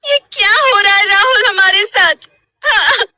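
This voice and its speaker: A woman voicing an emotional, pleading young wife in an animated horror story. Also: very high-pitched, a little slow, dry recording, studio quality, voice pushed up, exaggerated voice. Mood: frightened. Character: frightened, scolding young wife in a haunted house.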